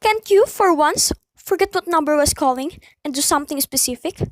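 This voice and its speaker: Woman playing a very high-voiced, fretful housewife. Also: lively intonation, moderate pace. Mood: indignant, insistent. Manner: fretful, imperious, insistent. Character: nervous, demanding invalid housewife, alone and alarmed. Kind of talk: amateur radio play acting.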